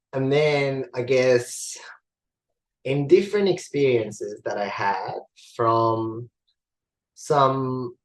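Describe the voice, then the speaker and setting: A man's voice: medium pitch, poor audio. A man from Iran co-hosting a casual podcast, talking in a thoughtful way as he searches for his words. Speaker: podcast co-host.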